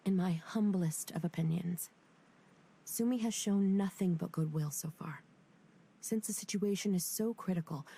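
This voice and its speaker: Wry, low-voiced young officer. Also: female voice, husky. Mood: respectful. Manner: brisk, respectful, wry. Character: loyal, joking young female military officer. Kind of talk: game cutscene voice acting. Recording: game audio with loud music.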